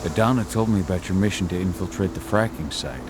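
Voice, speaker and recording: A man's voice: low-pitched, fast talker, lightly acted. An actor playing a weary, remorseful middle-aged warrior father returned from exile, in a video game cutscene. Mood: subdued. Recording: loud ambient noise behind the voice.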